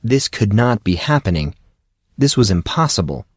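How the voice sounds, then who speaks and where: Male fiction narrator, lowish voice, dry studio-tight sound, reading fantasy prose. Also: very animated, normal speed, dry recording. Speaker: audiobook narrator.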